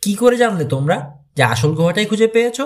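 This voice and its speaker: Squeamish teen sleuth read by a male narrator, grumbling and querying, expressive swings, mystery audiobook. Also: high-pitched, fairly quick, voice pushed up. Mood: curious. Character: squeamish, grumbling teenage boy detective.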